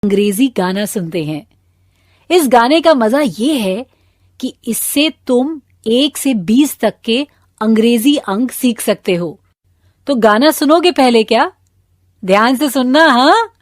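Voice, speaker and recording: A woman's voice: fairly high pitch, expressive, rapid-fire, dry recording, studio quality, lightly acted. A woman with an Indian accent playing a schoolteacher, instructing children in a scripted lesson with prompting questions. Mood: instructive, encouraging. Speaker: teacher presenting an English lesson for children.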